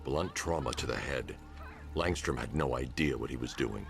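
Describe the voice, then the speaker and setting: An actor playing a grim, driven vigilante detective in a video game, gravelly, clipped and grave. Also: male voice, rumbling low, normal speed. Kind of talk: dramatic video game acting. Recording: voice under clear background music.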